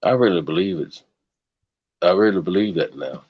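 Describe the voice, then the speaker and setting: A man with a deep bass voice hosting a casual livestream chat, given to preachy rants. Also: lively intonation, normal speed, slightly rough, highs cut off. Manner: ranting, preachy asides. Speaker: stream host.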